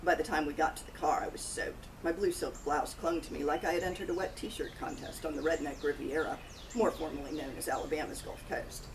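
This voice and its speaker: A woman's voice: low-pitched, fairly even intonation, fairly quick. A woman author reading first-person narration from her own cozy mystery novel. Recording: distant mic, loud outdoor noise, birds, crickets.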